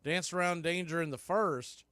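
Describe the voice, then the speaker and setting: A man calling baseball play-by-play in a relaxed, chatty way with wry asides. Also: fairly high pitch, moderate pace, no room sound. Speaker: play-by-play announcer.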